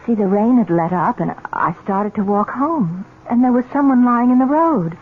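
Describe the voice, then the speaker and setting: An actress playing a concerned, practical young fiancee who soothes and questions, in a vintage radio drama on a tinny old recording. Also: female voice, a little high, animated, moderate pace, a little hiss or hum, natural voice. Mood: tender concern.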